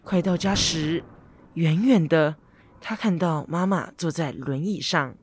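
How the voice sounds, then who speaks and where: A man's voice: fairly high pitch, a little slow, husky. A teenage boy reading scripted narration for a radio drama story.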